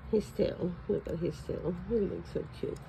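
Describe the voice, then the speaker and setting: Raspy woman gushing over toys. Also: fairly low pitch, noisy background. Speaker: vlogger. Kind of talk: casual unboxing chat. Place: home, at a table.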